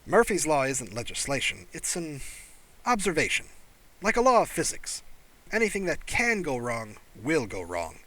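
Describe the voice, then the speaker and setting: A man's voice: fairly high pitch, normal speed, rough recording. A man voicing an exuberant, breezy female astronaut who is proud of her ship, in an audiobook. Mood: proud, amused. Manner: excitable, breezy. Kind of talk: audiobook character voice.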